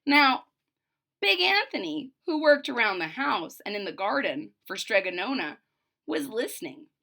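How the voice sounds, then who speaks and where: Woman storybook reader, expressive, deliberate phrasing, bright tone, lively swooping pitch. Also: creaky. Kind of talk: expressive children's read-aloud with simple recaps.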